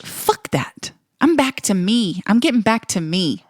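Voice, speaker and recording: A woman in her thirties co-hosting a podcast from home, teasing and sassy, quick to cut in. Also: mid-range voice, sing-song, no room sound, studio quality. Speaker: podcast co-host. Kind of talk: casual banter. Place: home in Texas.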